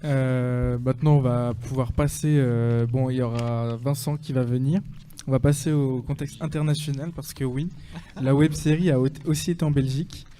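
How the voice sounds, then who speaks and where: Warm-voiced male radio host. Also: medium pitch, fairly even intonation, normal speed, a little hiss or hum. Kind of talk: radio interview.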